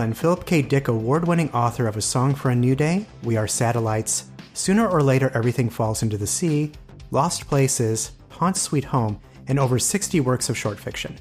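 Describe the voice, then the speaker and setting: A man's voice: mid-range voice, a little mellow. A man hosting a literary podcast, reading scripted introductions and putting questions to a guest. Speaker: podcast host and editor.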